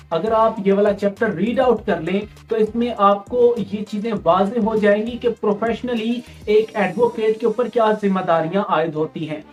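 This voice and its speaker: A woman's voice: medium pitch, a little mellow. A woman with a Pakistani accent giving instructional exam-preparation talk as a tutor, explaining and repeating key points with English terms mixed in.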